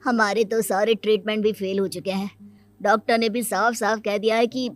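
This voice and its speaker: A woman voicing a good-natured young married man, an uncle, in a cartoon moral story, earnest and gentle. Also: medium pitch, little pitch movement, normal speed, faint music behind, lightly acted. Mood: downcast.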